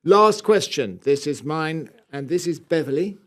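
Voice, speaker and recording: A man with an English accent and a fairly high voice co-hosts a podcast. He talks in a casual, chatty style. His delivery is teasing and playful, with a dry sense of humour. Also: animated, measured pace, fairly bright tone. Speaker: podcast co-host. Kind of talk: casual chat, reading listener questions.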